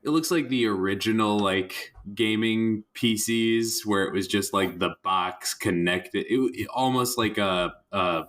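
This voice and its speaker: A man with a fairly low voice plays a tabletop role-playing game. He improvises his character's actions in casual, conversational narration. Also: measured pace, hardly any pauses, fairly bright tone.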